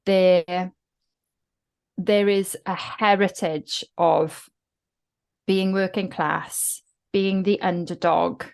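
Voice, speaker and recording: A woman's voice: lowish voice, fairly even intonation, extremely slow, creaky, long pauses, darkish tone, clear, resonant tone. A woman from South Wales with a Welsh accent, a guest talking reflectively in a podcast interview. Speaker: guest author.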